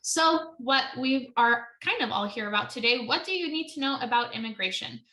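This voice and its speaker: Woman lawyer running a webinar, bright voice, lots of ums, echoey room. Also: on the high side, lively intonation, normal speed. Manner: chatty, many ums, self-correcting. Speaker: immigration attorney hosting a webinar. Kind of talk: webinar presentation.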